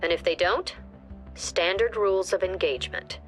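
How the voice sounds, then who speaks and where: An actress playing a stern commander briefing a tactical police squad in a clipped, authoritative way. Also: female voice, fairly low pitch, moderate pace, a bit bright, lightly acted. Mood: stern, businesslike. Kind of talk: scripted game briefing narration. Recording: music under the voice.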